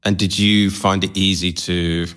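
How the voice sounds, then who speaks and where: A man's voice: low voice, level tone, unhurried, fairly bright tone, clear, resonant tone. A man hosting a podcast, asking questions in a conversational way and often affirming with short replies. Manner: encouraging, frequent short affirmations. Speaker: podcast host. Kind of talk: conversational interview.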